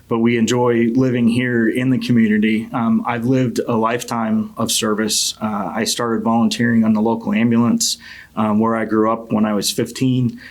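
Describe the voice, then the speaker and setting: A middle-aged man from Connecticut speaking as a city council candidate in an opening statement, halting and earnest in a measured way. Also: medium pitch, flat delivery. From Connecticut, United States.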